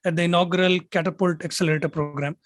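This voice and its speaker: A man hosting a podcast who puts measured questions to his guest, with a few fillers. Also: high voice, steady tone. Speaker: podcast host. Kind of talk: casual podcast interview.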